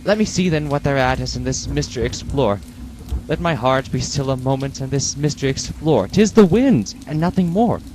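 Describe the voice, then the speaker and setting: A man voicing a grief-stricken, desperate man who cries out and pleads in high, strained shouts. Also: animated, moderate pace, slight background noise, close to an everyday voice, rough recording. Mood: anxious.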